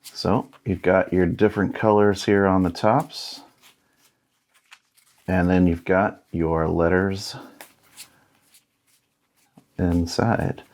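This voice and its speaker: A man in his thirties with a deep, low voice. He talks casually as an unboxing host, musing aloud in a laid-back way and sometimes reading from what is in front of him. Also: flat delivery, slow pace, pauses often, a little mellow, a little hiss or hum. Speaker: board game unboxing host.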